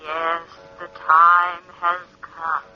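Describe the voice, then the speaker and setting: An actress playing an eerie inner voice that echoes evil urges in a chanting, insistent way, in 1940s radio horror style. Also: female voice, lowish voice, little pitch movement, extremely slow. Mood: eerie, urging. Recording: muffled 1940s radio recording under loud music.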